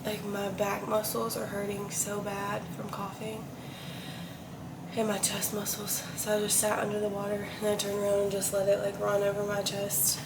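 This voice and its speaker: A woman's voice: mid-range voice, completely flat delivery, normal speed, very noisy. A young woman, sick and congested, chatting casually and candidly to her vlog viewers in a stuffed-up, hesitant, meandering way. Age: young adult. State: sick with congestion and chest cough. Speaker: vlogger. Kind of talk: casual confessional vlog chat.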